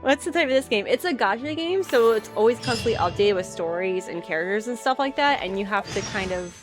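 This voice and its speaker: A woman's voice: medium pitch, expressive, brisk. A woman live-streaming a game, chatting and reacting casually as she plays. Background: game music.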